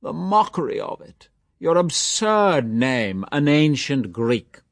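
A man's voice: fairly high pitch, big pitch swings, creaky, no echo, studio quality, pitched above the performer's own voice, full character voice. A man putting on a theatrical young mocker. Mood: mock-solemn. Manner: exaggerated, drawn-out mock-grand delivery. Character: mocking, theatrical young man, boisterous jester.

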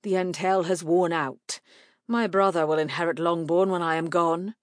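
Lady doing a cold stern dad in a period novel, flat clipped dismissive talk, dry recording. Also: fairly low pitch, moderate pace, no room sound, close to an everyday voice. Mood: cold, firm. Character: cold, stern, disappointed father, a gentleman.

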